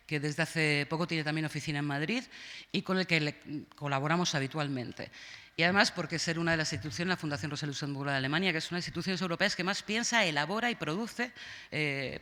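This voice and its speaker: A woman's voice: sits low, bright, forceful tone, in a large hall. A woman from Spain with a Peninsular Spanish accent, moderating a panel and introducing the speakers with emphatic praise and frequent fillers.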